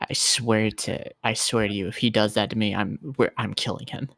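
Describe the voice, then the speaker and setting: A man's voice: on the low side, steady tone, normal speed, vocal fry, fairly bright tone. A man playing in a tabletop roleplay, joking casually in slang and repeating phrases for emphasis.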